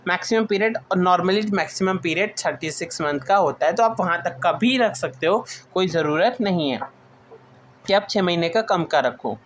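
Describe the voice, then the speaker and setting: A woman's voice: lowish voice, expressive, normal speed, slight background noise. A woman with an Indian accent giving an informal lecture, explaining notes point by point and restating them as she mixes in English terms. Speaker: teacher.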